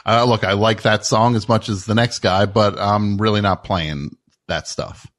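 A man's voice: lowish voice, fairly even intonation, moderate pace, a bit bright. A man hosting a comedic call-in radio show, teasing callers and repeating words when incredulous.

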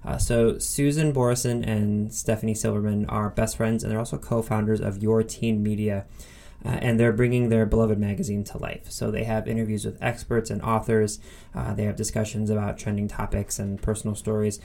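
Male podcast host, fairly deep voice with noticeable fry, casual and keen. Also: level tone, brisk, mellow. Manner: enthusiastic, informal. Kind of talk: casual podcast intro and conversational interview.

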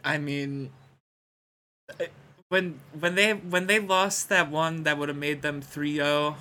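A man's voice: on the high side, steady tone, takes it slowly, pushed and bright, a little hiss or hum. A man co-hosting a podcast, chatting casually about sports. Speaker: podcast co-host.

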